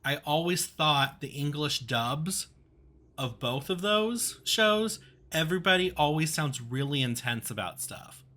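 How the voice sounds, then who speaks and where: A man with a Texan accent chatting casually as a podcast co-host, easygoing and quick to laugh. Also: a little high, expressive, pushed and bright. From Texas. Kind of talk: casual conversation.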